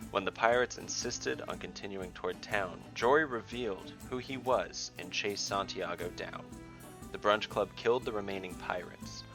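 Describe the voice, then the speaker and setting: A man hosting and narrating a scripted fantasy story as its dungeon master, in a dramatic, deliberate way. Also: low-pitched, vocal fry.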